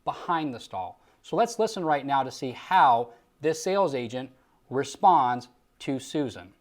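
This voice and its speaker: A man's voice: mid-range voice, lively intonation, measured pace. Emphatic male sales coach. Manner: emphatic, rhetorical, coaching delivery. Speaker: Medicare sales trainer and host. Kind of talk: instructional commentary on a sales call, acting out example lines.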